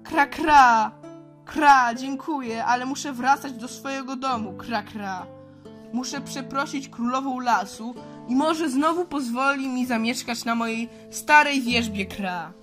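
A friendly, scary-looking crow from a children's audio play. The voice is high, piercingly bright and croaky, with slow phrases broken up by caws. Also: female voice, faint music behind. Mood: friendly, grateful. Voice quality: hoarse croaking. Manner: punctuates speech with caws. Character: croaking crow-like bird, scary-looking but good-hearted and grateful.

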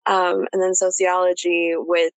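A woman's voice: on the low side, little pitch movement, unhurried, no echo. A woman in her late twenties hosting a casual chat interview, informal and slangy as she talks. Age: late 20s. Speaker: podcast host, therapist.

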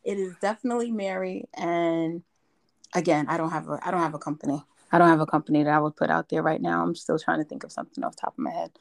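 Raspy, strained-voiced woman from New York. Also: deep voice, quick pace, no echo. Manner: chatty and animated, breaks off to correct herself with laughter. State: strained, hoarse from yelling. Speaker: guest. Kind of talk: casual podcast interview.

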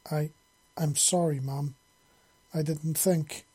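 A man's voice: fairly high pitch, steady tone, slow pace, warm tone, breathy edge. A man voicing a cheeky, sweet young daughter in an audiobook story, meddling and easily chastened. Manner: sweetly teasing.

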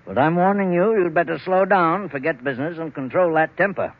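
Casting: avuncular, cajoling family doctor, frank and teasing, muffled vintage radio sound. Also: male voice, on the high side, a little fast. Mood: firm. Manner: chuckling, cajoling. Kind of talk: old-time radio acting. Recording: muffled old radio recording.

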